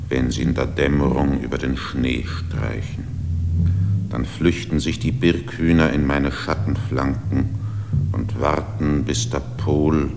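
An ancient, brooding slate mountain, deep and gravelly. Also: male voice, background noise, full character voice. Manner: slow, solemn, half-whispered. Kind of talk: poetic radio play monologue. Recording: long reverb under clear music.

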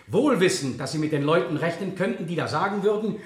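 An actor playing a stern, sardonic prosecutor in a German radio play, cross-examining with precise, insistent, pointed questions. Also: male voice, a little high, expressive, quick pace, natural voice. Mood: accusing. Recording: roomy 1970 studio radio play sound.

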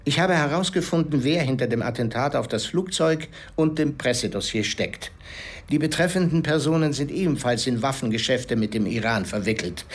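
A man playing a calculating, powerful political fixer in a radio drama, speaking in a measured way. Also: mid-range voice, breathy edge. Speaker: political operator. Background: music.

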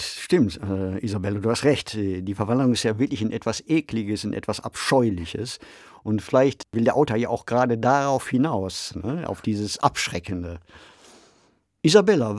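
Man, podcast co-host, reflective and articulate, conversational, mid-pitched, close dry recording. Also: expressive, moderate pace, a little mellow, no room sound. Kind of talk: conversational discussion of radio drama.

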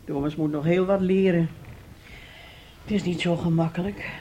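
An actress in a radio play playing a strict, emotional middle-aged mother with a drawn-out, dramatic delivery. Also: on the low side, steady tone, mellow, lo-fi sound, a little hiss or hum, lightly acted. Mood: scolding. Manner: emotional, drawn-out, scolding or pleading.

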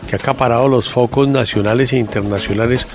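A man's voice: medium pitch, steady tone, fast, music in the background. A man hosting a radio show, chatting casually in a joking, teasing and opinionated way.

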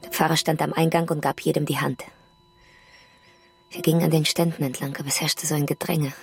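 An actress in a radio drama playing a grieving woman who survived a bombing, telling it in a quiet, halting, hushed way. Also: deep voice, level tone, creaky, breathy edge, exaggerated voice. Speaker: woman recounting a wartime air raid.

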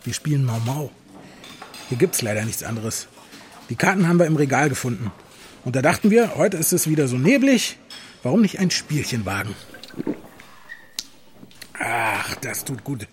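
A man's voice: mid-range voice, big pitch swings, normal speed, long pauses, slight background noise, natural voice. A man playing a sociable, folksy hospital patient who chats and is easily amazed, in an audio drama. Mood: sociable, inviting. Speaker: actor in a drama. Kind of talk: dialogue acting in an audio drama.

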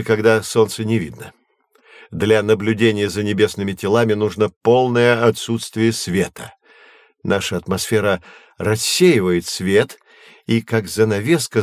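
A dry, closely recorded, fairly low voice belongs to a learned professor acted by a man. He explains in a measured, didactic manner, patient with his young listeners, as in a scripted educational audio play. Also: no echo, natural voice. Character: learned, patient male astronomy professor guiding children. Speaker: teacher.

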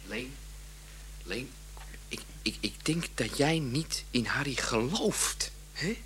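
An actor playing an earnest, hesitant family friend in a comic radio play, stammering and halting as he speaks. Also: medium pitch, lively intonation, fairly bright tone, slight background noise. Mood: reproachful. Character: hesitant, earnest male family friend, admiring great geniuses.

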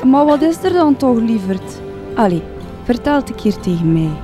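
A woman's voice: on the high side, very animated, normal speed, over music. A woman playing a kind-hearted, feisty and protective young woman in a radio drama. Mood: concerned.

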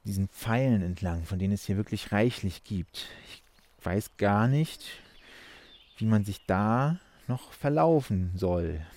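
Low-voiced man with swooping intonation. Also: lively intonation, unhurried, darkish tone. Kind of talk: spoken-word observation with playful repetition. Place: outdoors on a forest trail.

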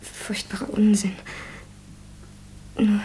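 An actress in a radio play as a gentle, timid young woman, speaking in a breathy, fearful hush. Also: medium pitch, completely flat delivery, slow pace, natural voice. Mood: anxious, hushed. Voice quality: breathy, whispering. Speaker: actor in a radio drama. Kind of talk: German radio play acting.

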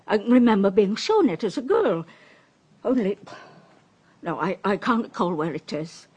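Husky, uneasy maidservant. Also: female voice, medium pitch, very animated, moderate pace, a little mellow. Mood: hesitant. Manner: hesitant, deferential, ominous. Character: uneasy, superstitious, deferential female household servant. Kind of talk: radio drama acting.

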